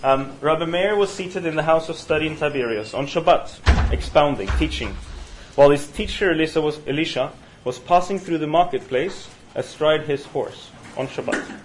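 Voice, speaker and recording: A man with a Norwegian accent leading a university study session, talking in a halting way with many fillers and restarts. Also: on the high side, background noise. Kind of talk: university seminar lecture. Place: university room. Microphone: single room mic.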